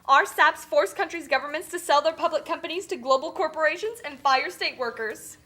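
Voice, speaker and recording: High, forceful, officious banker, played by a woman. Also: fairly quick. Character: officious global lending institution official. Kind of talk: scripted educational puppet show.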